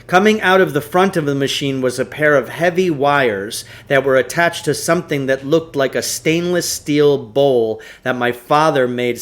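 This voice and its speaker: A man reading a storybook aloud as narrator, in a deliberate, expressive way. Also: mid-range voice. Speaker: teacher reading a book aloud.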